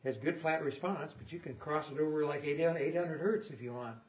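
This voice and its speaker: A man's voice: on the high side, moderate pace, muffled recording. An older man giving an informal technical talk in a lecture room, rambling and correcting himself with small chuckles. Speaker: guest lecturer on loudspeaker design.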